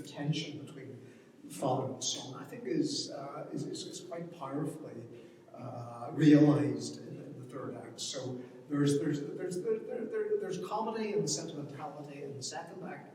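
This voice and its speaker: A man's voice: a little high, a little mellow, a little hiss or hum. A man gives an informal literary talk off the cuff, hesitant and often repeating words as he thinks aloud. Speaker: panel speaker on literature. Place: large reverberant indoor event space. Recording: distant, very echoey hall with long reverb.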